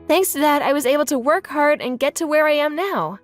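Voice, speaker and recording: A woman's voice: high voice, fairly quick, faint music behind, lightly acted. A woman playing a kind, grateful young woman, an old school friend, in a manga dub. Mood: tender, grateful.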